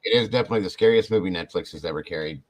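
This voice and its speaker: Male podcast host, deep, loose and animated delivery. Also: rapid-fire. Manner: rambling, animated, talks to chat viewers. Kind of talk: casual podcast chat.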